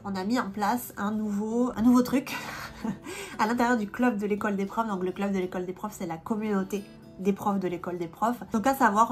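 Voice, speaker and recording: Woman, 30s, vlogger with a cold; loose, filler-heavy chat, faint music underneath. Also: medium pitch. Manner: chatty, many fillers and restarts. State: stuffy, has a cold. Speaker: vlogger and independent language teacher. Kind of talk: casual vlog chat.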